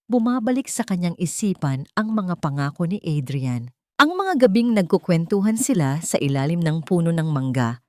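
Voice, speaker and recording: Filipino woman narrating drama in a lowish voice. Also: darkish tone, no echo, clean studio sound. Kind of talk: dramatic scripted story narration.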